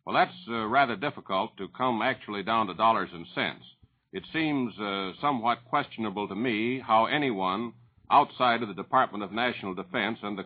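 A husky-voiced American man speaks as a business spokesman in a measured, formal way. He comes over a thin, tinny 1940s radio line. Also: fairly low pitch. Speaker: banker interviewed as business spokesman. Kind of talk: formal, prepared-sounding statements.

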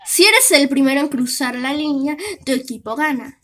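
A woman with a Latin American Spanish accent voicing squabbling object characters in an animated cartoon fan dub. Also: high voice, moderate pace. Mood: announcing.

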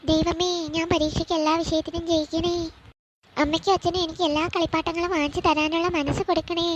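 A performer voicing a lively little boy in a cartoon skit, pleading and stubborn in a whiny, childlike way. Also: very high voice, quick pace, slight background noise, rough recording. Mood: sleepy, pleading.

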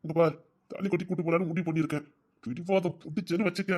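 A performer playing a possessive but tender young man in an audio romance drama, speaking softly and coaxingly. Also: medium pitch, fairly even intonation, quick pace, lightly acted. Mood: pleading, insistent. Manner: soft, hushed, coaxing.

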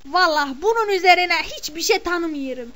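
Woman doing a middle-aged village mother, very high voice, chatty, exclamatory, scolding. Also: lively intonation, brisk, sharp tone, heavily acted. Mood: gossipy. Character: gossiping village mother of the bride, indignant. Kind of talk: comic character monologue.

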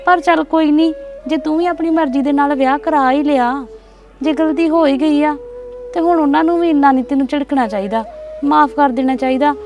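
A caring older sister in a family drama, soothing and firm by turns, speaking, played by a woman. Her voice is high and heard from a distance, with faint music behind. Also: fairly even intonation, a little fast, darkish tone. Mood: consoling. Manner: soothing, pleading, then firm advice. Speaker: actor. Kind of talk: scripted drama acting.

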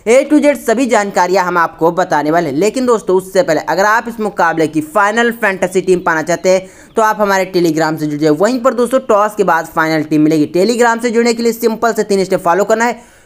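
A woman's voice: medium pitch, a little fast. A woman narrating a scripted sports preview for an online video, addressing viewers directly in a formulaic way. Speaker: cricket match preview presenter.

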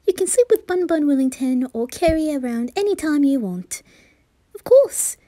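Woman voicing a coy, soft-spoken boy noble. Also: high-pitched, expressive, moderate pace, no room sound, voice pushed up. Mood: playful, affectionate. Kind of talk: ASMR roleplay.

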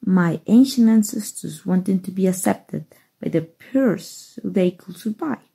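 A woman acting a kind woman rescuer in an ASMR roleplay. Her voice is very breathy and husky, dark and soft, with some gravel in it. She speaks gently and earnestly, reassuring the listener. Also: medium pitch, exaggerated voice, poor audio. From Mexico.

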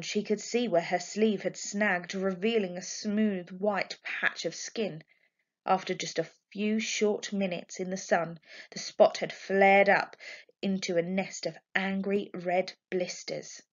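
Woman, storybook reading, expressive delivery, mid pitch, slightly muffled lo-fi sound. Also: slow. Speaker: teacher reading a book aloud. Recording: dull lo-fi home recording.

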